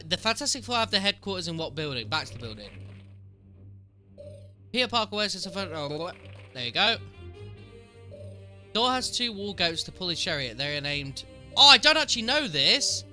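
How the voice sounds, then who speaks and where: A man's voice: sits high, sing-song, normal speed, pauses often, sharp tone, soft background music. A man with a cold and a sniffly voice, chatting casually with his stream viewers while answering game trivia aloud. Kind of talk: casual live-stream commentary.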